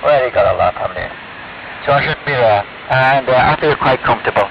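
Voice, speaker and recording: Older ham operator over hissy shortwave. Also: male voice, mid-range voice, expressive, brisk, creaky, lo-fi sound. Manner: chatty, rambling, self-deprecating. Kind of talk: casual on-air radio chat. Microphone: radio transceiver mic heard over the air. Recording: noisy, band-limited shortwave radio hiss.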